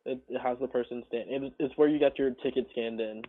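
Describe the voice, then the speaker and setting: A man working in cinema customer service, speaking politely and haltingly on a phone call, often repeating himself. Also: mid-range voice, fairly even intonation. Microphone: telephone call. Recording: tinny muffled phone line.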